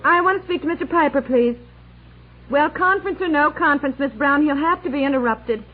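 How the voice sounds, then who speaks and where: A woman's voice: sits high, fairly quick, faint music behind, close to an everyday voice. An actress playing a flustered, exasperated wife and mother in an old radio comedy, fretting in run-on complaints. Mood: fretful. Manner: fretful, breathless run-on complaining. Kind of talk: 1950s-60s radio comedy acting. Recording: tinny, hissy old radio recording.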